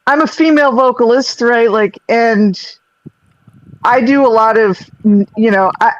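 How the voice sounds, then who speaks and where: A woman with a low voice, a singer who also runs live sound, chatting loosely on a group call with lots of restarts. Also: unhurried, no room sound, highs cut off. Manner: rambling, restarts, self-deprecating laughs. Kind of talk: casual group video-call chat.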